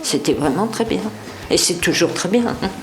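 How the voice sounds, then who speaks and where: Deep-voiced woman in an echoey room. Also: normal speed, background noise. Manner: relaxed, contented. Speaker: resident. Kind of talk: documentary interview.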